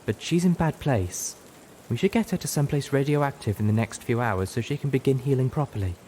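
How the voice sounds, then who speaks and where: A man's voice: mid-range voice, lively intonation, a little fast, dark tone, a little hiss or hum, voice pushed up, lightly acted. A man voicing a polite, proper, composed female pony medic. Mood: reassuring, concerned.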